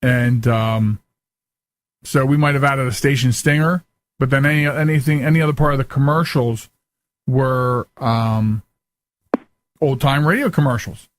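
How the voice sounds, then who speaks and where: A man hosting a casual live call-in radio show, talking haltingly and often repeating his words. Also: medium pitch, steady tone.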